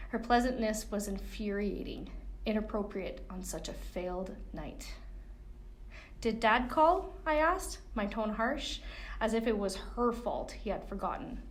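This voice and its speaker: A woman's voice: mid-range voice, lively intonation, moderate pace, a little hiss or hum. A woman reading a first-person coming-of-age novel excerpt as narrator. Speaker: reader of a book excerpt.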